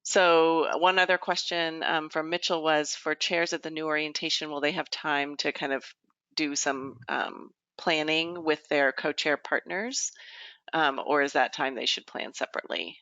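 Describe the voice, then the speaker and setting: A woman's voice: deep voice, fairly even intonation, normal speed, fairly bright tone, smooth, no echo. A woman speaking informally as a participant on a conference call, relaying questions to the group. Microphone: conference call line. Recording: dull, lo-fi conference call audio.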